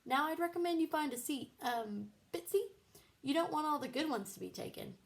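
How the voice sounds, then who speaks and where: A woman voicing a tactful, encouraging baseball coach with measured manners in a children's storybook. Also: high voice, expressive, creaky, voice pushed up. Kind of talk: children's storybook read-aloud.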